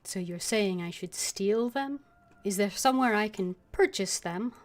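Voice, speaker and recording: A woman's voice: mid-range voice, a little slow. A woman game streamer giving casual commentary and voicing her dialogue choices aloud as she plays.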